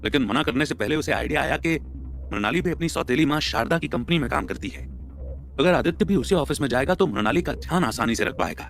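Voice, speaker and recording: A man's voice: mid-range voice, animated, fast, gravelly, fairly bright tone. A man narrating a scripted audio story as a storyteller. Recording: music bed under the voice. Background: background music.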